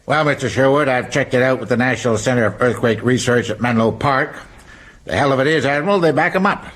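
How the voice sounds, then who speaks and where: A male actor as a blunt American city mayor in a radio thriller, with a husky edge to his voice. He talks combatively and colloquially, hammering his points home in exasperation. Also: medium pitch, fast talker, fairly bright tone, lightly acted. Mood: exasperated.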